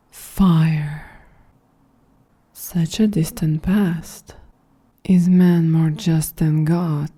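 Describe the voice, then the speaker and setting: A woman voicing a calm, quietly grandiose female android in a breathy, half-whispered, soft-spoken ASMR roleplay. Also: sits low, heavily acted. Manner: slow, measured, solemn delivery.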